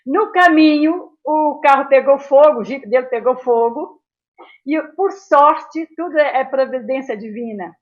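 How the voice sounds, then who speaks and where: An elderly woman tells her memories as an interview guest. Her voice sits fairly high, and she rambles from detail to detail, often tagging phrases with a small question. The sound is somewhat dull and lo-fi. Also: a little slow. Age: 70s. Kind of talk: reminiscing in a casual interview.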